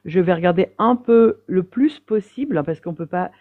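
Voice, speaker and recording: A woman's voice: lowish voice, lively intonation, normal speed, no echo. A woman calling into a live debate, defending her view in a hesitant way with many fillers. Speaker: debate caller. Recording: dry tinny phone line.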